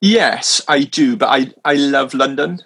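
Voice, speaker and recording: Welsh-raised male minister, mid-pitched, halting chat full of restarts. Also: gravelly, a bit bright, slightly rough. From Wales. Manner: hesitant, many restarts, self-deprecating laughs. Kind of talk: casual conversation. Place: London.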